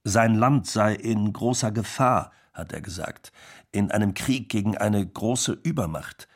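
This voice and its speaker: A male showbusiness colleague in a radio play, reminiscing earnestly and with some concern. His voice sits fairly low and has a husky, rough edge. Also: unhurried. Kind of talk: radio play documentary monologue.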